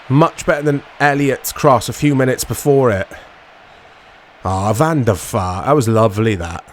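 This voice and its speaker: Guy doing laid out slow football game commentary, bit hoarse, short muttered bits, slight background noise. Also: on the low side. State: voice strained, going hoarse. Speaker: gaming channel host.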